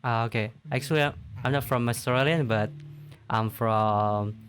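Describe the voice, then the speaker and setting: A man's voice: medium pitch, moderate pace, fairly bright tone, faint music behind. A man from Indonesia with an Indonesian accent, a native Indonesian speaker, chatting casually in simple learner's English. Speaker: video host. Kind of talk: casual chat. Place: online VR voice chat.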